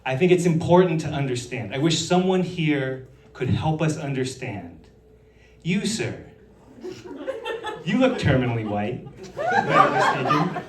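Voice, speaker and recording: A man's voice: fairly high pitch, wildly swooping pitch, a little slow, pauses often, a little hiss or hum. A man performing a stand-up comedy set on stage, delivering his jokes with dry sarcasm. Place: on stage in a hall. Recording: roomy hall, picked up from a distance.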